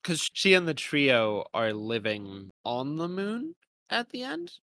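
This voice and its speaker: A man's voice: on the high side, wildly swooping pitch, slow pace, bright, forceful tone, no echo, studio quality. A man hosting a podcast in an analytical, conversational style, thoughtfully and somewhat hesitantly feeling out his ideas as he speaks. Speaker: podcast host. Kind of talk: conversational analytical discussion of a visual novel.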